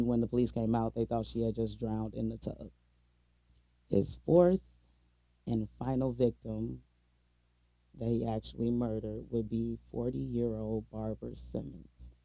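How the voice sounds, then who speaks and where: An American man tells true crime stories as a podcast co-host, loose and full of slang. His voice is very dark and mellow, with a creaky, gravelly grain. The sound is dry and close. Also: medium pitch, no echo. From Minnesota, United States.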